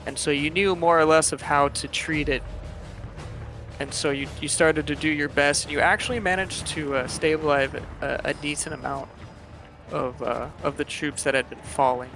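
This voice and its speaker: A man narrating a tabletop game as game master in a halting, explanatory way. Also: on the high side, steady tone. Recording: music bed behind voice. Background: loud background music.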